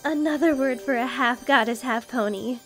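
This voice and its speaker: A woman's voice: high-pitched, moderate pace, over music, close to an everyday voice. A woman voicing a proud divine pony aunt, in a fan audio drama. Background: musical score.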